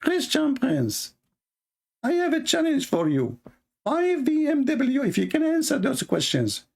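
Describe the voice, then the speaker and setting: A man mimicking a boastful male challenger in a shrill, drawn-out, mocking voice. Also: wildly swooping pitch, vocal fry, a little mellow, heavily acted. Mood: taunting. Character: boastful male challenger issuing a taunting dare.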